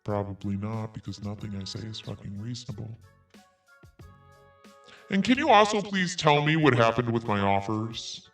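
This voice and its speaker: A man's voice: medium pitch, big pitch swings, normal speed, fairly bright tone, distant mic, faint music behind. A man hosting a commentary podcast, reading messages aloud in a sarcastic tone and swearing freely. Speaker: podcast host.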